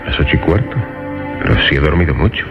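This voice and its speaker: A reverberant, muffled radio recording with music behind carries a bewildered Castilian man. His voice is deep, and he questions everything in an earnest, uneasy, defensive way. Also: lively intonation, quick pace. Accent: Castilian Spanish. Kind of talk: suspense radio drama acting.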